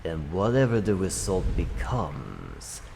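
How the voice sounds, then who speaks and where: Guy doing a big old dragon guardian, really low n gravelly, calm formal talk, slow roleplay monologue. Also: full character voice. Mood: solemn, resolute. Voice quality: deep, gravelly. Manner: slow, measured, formal phrasing. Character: ancient male dragon guardian, solemn, calm, kindly. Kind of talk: fan roleplay monologue addressed to the listener. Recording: long cavernous reverb, faint background noise.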